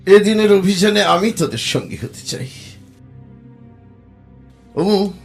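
A skeptical man among ghost-hunting friends. His voice is high for a man and unhurried, set over music in a horror radio play. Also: animated, vocal fry, darkish tone, full character voice. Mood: resolute. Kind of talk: Bengali horror audio drama acting.

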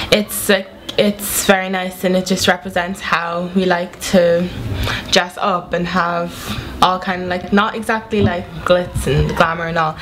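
A woman's voice: lowish voice, a little hiss or hum. A teenage girl chatting casually, rambling with fillers like "like" and "uh". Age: teens. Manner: rambling, many fillers, laughing. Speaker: student. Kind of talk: casual chat.

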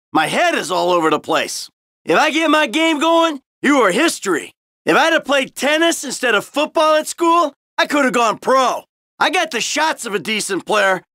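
A man's voice: very high voice, bright, forceful tone, dry recording, clean studio sound, exaggerated voice. An actor playing a sarcastic, hot-tempered, middle-aged American man in a midlife crisis, in short exasperated video game lines. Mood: frustrated, self-coaxing. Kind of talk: video game character barks.

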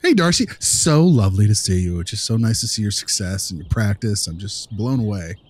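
A man's voice: lowish voice, animated, brisk, a little mellow, slightly rough, dry recording. A man in an improvised role-play as a consulting analyst, talking casually and asking probing questions.

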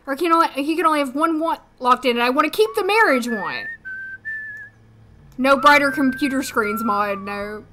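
A woman in her thirties, hoarse today, chatting casually and thinking aloud as she streams a life-sim game from a desk at home. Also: high voice, normal speed, background noise. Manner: chatty, thinking aloud, frequent little laughs. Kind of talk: casual gameplay commentary. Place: home room, seated at desk.

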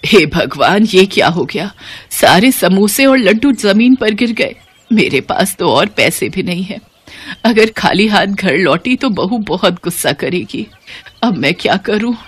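A woman voicing a weary, meek old mother-in-law in a cartoon story, speaking gently and plaintively. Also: mid-range voice, animated, unhurried, husky. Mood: distressed, pleading.

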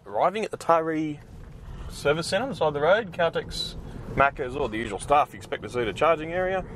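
A man's voice: fairly high pitch, animated, fast talker, a bit bright, slight background noise. A middle-aged Australian man narrating a casual road trip vlog from inside his car, chatty and loose, with asides and fillers. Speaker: EV vlogger. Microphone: dash-mounted camera. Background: background music.

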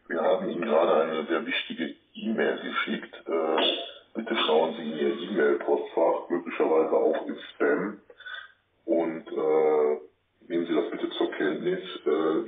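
A man delivering a formal debt collection message over the phone. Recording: boomy echoing phone line, distant.

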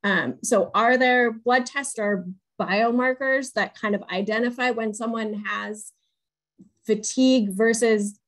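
A woman's voice: a little high, takes it slowly. A woman, putting questions conversationally as the moderator of a webinar. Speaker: moderator, patient-advocacy research director. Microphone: video call on wired earbuds.

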